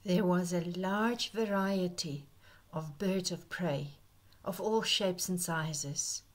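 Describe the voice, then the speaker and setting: A woman narrating a birding slideshow as a scripted voice-over, gentle and reflective, with soft breathy asides. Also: on the low side, takes it slowly. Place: voice-over recorded apart from the pictured outdoor scenes.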